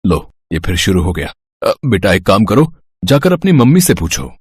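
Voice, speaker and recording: A man's voice: low voice, sing-song, normal speed, darkish tone, husky, no room sound, full character voice. A man playing a doting, patient father of a small boy in a moral story. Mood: weary. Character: affectionate father who dodges his son's questions.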